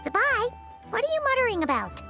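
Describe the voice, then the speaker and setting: A woman voicing a tiny, childlike, excitable and naive fairy companion in a video game, given to exclamations. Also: very high voice, fairly quick, music in the background, exaggerated voice. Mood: puzzled. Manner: exclaiming, refers to self in third person.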